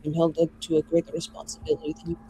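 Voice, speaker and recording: A man's voice: on the high side, monotone, rapid-fire, dark tone, close to an everyday voice, low-quality recording. Man playing a proud, embittered mage, faint noise. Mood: defiant, bitter. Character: proud, embittered male mage, defiant and formal.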